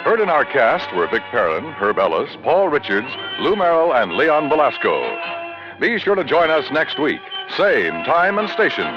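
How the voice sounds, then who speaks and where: A man's voice: a little high, very animated, moderate pace. A man announcing in a scripted, polished and emphatic 1950s radio style. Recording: muffled vintage radio recording, music behind.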